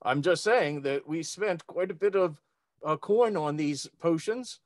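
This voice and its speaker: Male tabletop player, deliberate and hesitant, fairly high pitch, very dry recording. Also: no echo. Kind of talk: casual game-table discussion.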